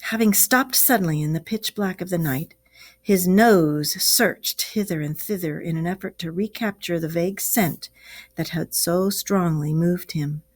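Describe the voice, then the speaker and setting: A teacher reading a classic animal story aloud to children in a slow, expressive storytelling voice. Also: female voice, on the low side.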